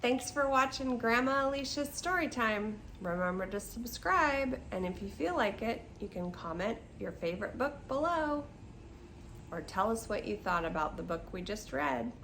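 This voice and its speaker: An older woman reading a picture book aloud to children as a storytime host, expressive, with casual asides to her listeners. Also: fairly high pitch, normal speed, slight background noise. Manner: expressive, asking the listener questions. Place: seated in a chair.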